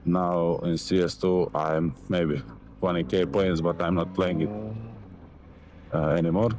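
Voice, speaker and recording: A young Montenegrin man with a very low voice rambles through a casual motorcycle vlog in accented English, full of fillers and offhand swearing. He talks into a helmet mic while riding in city traffic, with faint wind and traffic noise underneath. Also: a little mellow, low-quality recording. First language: Serbian. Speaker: motovlogger.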